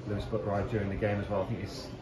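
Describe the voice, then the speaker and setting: Brisk interviewer, echoey crowd. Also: male voice, on the low side, level tone, heavy background noise. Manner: brisk, leading questions. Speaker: club media interviewer. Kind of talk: post-match interview questions.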